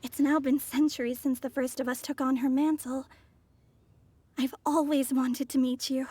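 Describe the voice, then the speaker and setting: An earnest young woman scholar in a fantasy game. Her high voice is polite and composed, and she speaks with measured sincerity. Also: level tone, dark tone, husky, no echo. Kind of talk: video game voice acting.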